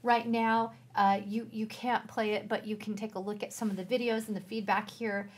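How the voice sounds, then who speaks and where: A woman's voice: medium pitch, flat delivery, normal speed, breathy edge. A woman co-hosting an educational show, explaining a resource in a casual presenting style.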